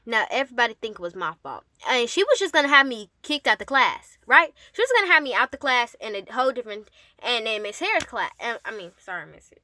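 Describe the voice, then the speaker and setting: A woman telling a personal story casually to her audience, with an animated, emphatic and sassy manner. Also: a little high, fast, dry recording, clean studio sound. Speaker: storyteller and host addressing an audience.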